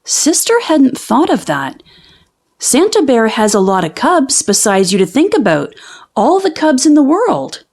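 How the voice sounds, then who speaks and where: A woman reading a children's picture book aloud in an expressive storytelling style. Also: sits high, unhurried.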